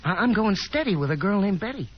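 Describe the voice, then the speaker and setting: A man's voice: sits high, big pitch swings, quick pace, slight background noise. An actor playing an affable young working man with an American accent, stammering and eager to please in a 1950s radio drama. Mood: earnest, awkward. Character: affable, awkward young working man, earnest gentleman caller. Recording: muffled 1950s radio recording, music behind.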